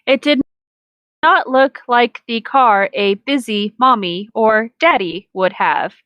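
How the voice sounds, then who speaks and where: A woman's voice: on the high side, slow pace, dry recording, muffled recording. A woman co-hosting a comedic reading, narrating a story aloud with casual, sardonic asides. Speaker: co-host of a comedic fan-fiction reading.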